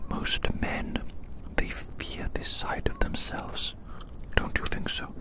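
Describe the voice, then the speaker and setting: An ASMR performer delivering a roleplay monologue in a breathy, unvoiced whisper, musing deliberately and repeating phrases.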